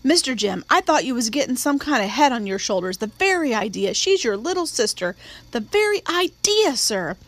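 Brief: stern housekeeper, voiced by a woman, high and sharp, scolding the children. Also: sing-song, normal speed. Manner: scolding, indignant.

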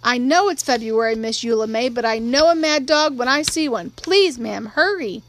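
A woman's voice: fairly high pitch, expressive, normal speed, a little hiss or hum, natural voice. A woman voicing a stern, bossy housekeeper in an audiobook, speaking emphatic, folksy Southern dialect. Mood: urgent, alarmed.

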